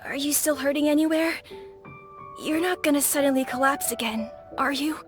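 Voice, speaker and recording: A woman's voice: high-pitched, normal speed, natural voice. A woman voicing an anxious, devoted young daughter in a video game, youthful and earnest, with music behind her. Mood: concerned. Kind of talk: English video game voice acting. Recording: music under the voice.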